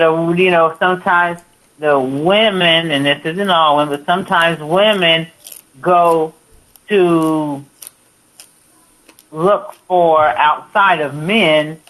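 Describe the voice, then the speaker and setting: Female caller, American, very unhurried, forceful bright tone, reflective, informal discussion. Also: low voice, low-quality recording. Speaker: call-in participant. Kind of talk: casual discussion.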